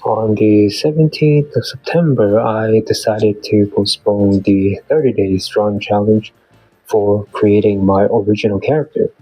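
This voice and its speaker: A man still getting over a flu reads his weekly recap in an earnest, measured way. His voice sits low and mellow with a husky edge. The recording is dry and close, with faint music underneath. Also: dry recording, rough recording. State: voice off from recovering flu. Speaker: beginner artist and channel host. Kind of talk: scripted weekly progress narration.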